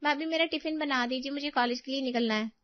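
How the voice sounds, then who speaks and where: A woman voicing an impatient, demanding young college girl in a family story, hurried and whiny. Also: high-pitched, no room sound, lo-fi sound, pitched above the performer's own voice, lightly acted.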